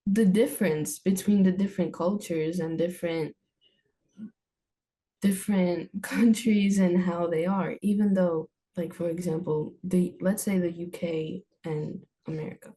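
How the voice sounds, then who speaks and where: Slow-talking young woman, Armenian native. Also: lowish voice, steady tone, warm tone, low-quality recording. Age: young adult. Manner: hesitant, searching for words, many restarts. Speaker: student guest. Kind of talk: casual interview answers.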